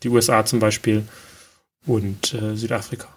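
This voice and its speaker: A man's voice: on the low side, level tone, moderate pace, a little hiss or hum. A man co-hosting a podcast in chatty casual talk, joking about himself, with many fillers. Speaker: podcast co-host. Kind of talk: casual chat.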